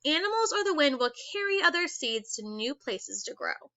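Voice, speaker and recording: A woman teacher reading a children's book aloud. She reads slowly and stops to ask the class questions. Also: high voice, big pitch swings, bright, forceful tone, no room sound, highs cut off. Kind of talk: read-aloud lesson for children.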